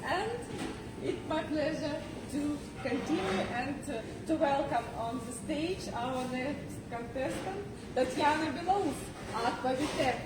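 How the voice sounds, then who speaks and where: A woman hosting a contest theatrically, announcing playfully in short, drawn-out phrases. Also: high-pitched, animated, heavy background noise. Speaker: host of a speech contest. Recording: distant roomy pickup under loud music. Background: loud music.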